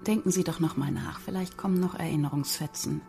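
Creaky low-voiced woman questioning. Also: sing-song, fast talker, over music. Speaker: questioner in a radio feature. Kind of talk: probing questioning.

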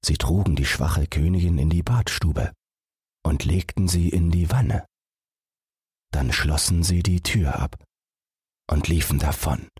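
Very deep, slow, husky male storyteller. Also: a little mellow, no room sound, clean studio sound. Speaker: audiobook narrator. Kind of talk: scripted fairy-tale narration for bedtime.

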